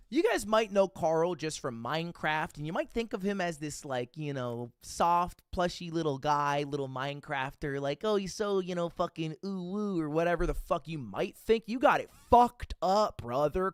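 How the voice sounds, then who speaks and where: Young man in his twenties, fairly high voice, very lively pitch swings, dry close mic, excitable and profane storytelling. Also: smooth, dry recording. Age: 20s. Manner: excitable, profane, acts out quotes. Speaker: streamer reacting to a video. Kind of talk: animated storytelling reaction.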